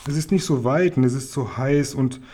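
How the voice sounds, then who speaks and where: Casting: timid, overworked little boy read by a grown man, soft mellow tone, halting pleas, children's audiobook. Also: medium pitch, lightly acted. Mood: tearful pleading. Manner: pleading, halting. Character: small, lonely, overworked boy, timid and pleading.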